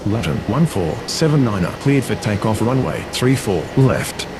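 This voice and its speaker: A man's voice: lowish voice, big pitch swings, moderate pace. A man playing a businesslike pilot, giving scripted airport radio calls. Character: businesslike male pilot requesting airport clearances. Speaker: air traffic radio voice. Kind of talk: scripted aviation radio phraseology. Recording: clear vehicle-like ambient noise.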